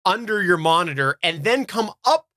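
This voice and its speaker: A man with a bright, forward voice co-hosts a casual live podcast. He talks in a rambling, self-correcting way, close on the microphone with a very dry sound. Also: sits high, animated, moderate pace, no room sound. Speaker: podcast co-host. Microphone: close microphone on a boom arm.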